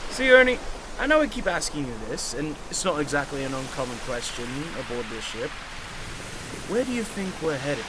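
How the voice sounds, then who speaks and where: High-voiced, excitable young-spirited deckhand. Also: male voice, moderate pace, background noise. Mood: chirpy, curious. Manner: chatty, indignant, exclamatory. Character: excitable, hot-tempered male deckhand. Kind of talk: audio drama acting.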